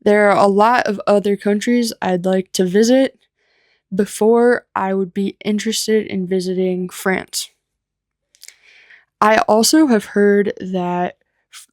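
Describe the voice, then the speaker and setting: An American woman teaching English through simple personal stories, speaking in a deliberate, unscripted way with clear phrasing. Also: medium pitch, steady tone, a little mellow, dry recording, studio quality. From United States. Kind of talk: slow, simple personal storytelling for language learners.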